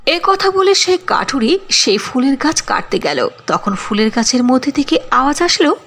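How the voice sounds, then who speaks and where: Gravelly, lively woman narrating a cartoon tale. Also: high-pitched, normal speed.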